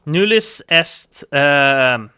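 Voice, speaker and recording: A man's voice: fairly high pitch, expressive, drawn-out words, bright, forceful tone, smooth. A man with a Dutch accent, chatting casually while streaming a game, giggly and hesitant with many ums. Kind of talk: casual gameplay commentary.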